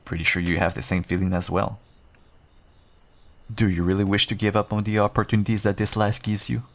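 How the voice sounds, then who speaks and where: Seasoned werewolf adventurer for fantasy audio roleplay, male actor: very low, gravelly, gruff yet kindly, teasing and reassuring. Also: flat delivery, fairly quick. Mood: earnest, reassuring. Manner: reassuring, wry, bantering. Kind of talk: audio roleplay, one-sided dialogue addressed to listener.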